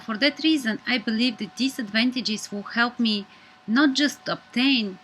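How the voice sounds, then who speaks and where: A woman's voice: a little high, unhurried, fairly bright tone, rough recording. Bulgarian-accented woman in her thirties, earnest presenter, faint noise behind her. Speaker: media student. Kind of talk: scripted personal presentation.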